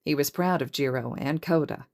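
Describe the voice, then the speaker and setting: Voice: medium pitch, moderate pace, gravelly. A narrator reading scripted fiction as an audiobook-style story. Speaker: podfic narrator.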